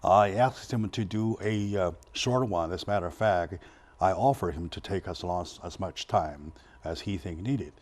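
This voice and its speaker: A man hosts a community TV talk show. He speaks haltingly, restarting phrases and filling gaps with "uh". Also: sits low, a little mellow. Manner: halting, many restarts and fillers. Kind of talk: community TV interview.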